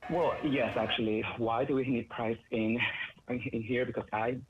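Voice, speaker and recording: A man from El Salvador, calling in to give an earnest, somewhat halting public comment. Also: mid-range voice, steady tone. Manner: earnest, repetitive, slightly halting. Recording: tinny phone line with faint noise.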